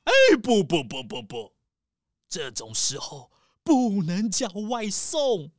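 A woman's voice: on the low side, sing-song, takes it slowly, darkish tone, no echo, studio quality, full character voice. Woman playing a fussy, swooping squirrel tycoon. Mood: worried. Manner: fussy, exclamatory, repeats words. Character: rich male squirrel tycoon, fussy, anxious, food-loving. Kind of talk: children's audio story acting.

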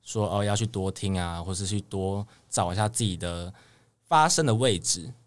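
Low-voiced young Taiwanese Mandarin man. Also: moderate pace. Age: young adult. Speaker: idol singer guest. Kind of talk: casual interview chat.

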